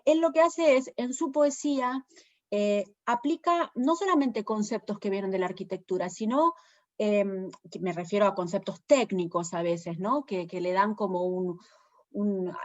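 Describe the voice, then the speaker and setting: A woman with an Argentine accent, a poet giving a thoughtful literary talk, pausing often with fillers as she searches for words. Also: medium pitch, a little mellow, highs cut off. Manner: thoughtful, hesitant, many fillers. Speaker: poet and presenter.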